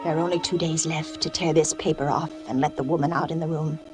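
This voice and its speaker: A woman's voice: low-pitched, fairly even intonation, fast talker, over music, close to an everyday voice. An actress playing an anxious, secretive young wife losing her mind in a suspense radio drama, with a dramatic delivery that drops toward whispers. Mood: feverish. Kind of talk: vintage suspense radio drama acting, first-person narration.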